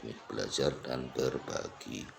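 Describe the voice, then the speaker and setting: A man's voice: very deep voice, fairly even intonation, moderate pace, creaky. A man giving a casual, unscripted walk-through commentary, pointing things out and repeating himself as he goes. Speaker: vlogger giving an exhibition tour. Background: crowd at an exhibition.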